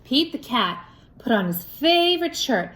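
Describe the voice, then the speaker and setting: Woman, children's story reader, high-pitched, unhurried, expressive sing-song delivery, roomy echo. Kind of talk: read-aloud for young children with sung refrains.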